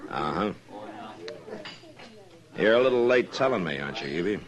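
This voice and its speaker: An actor playing a stoic, world-weary frontier marshal in an old-time radio western, terse, dry and quietly angry. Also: male voice, sits low, animated, moderate pace. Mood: guarded, dry. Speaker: lead character and narrator. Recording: vintage radio drama with loud music.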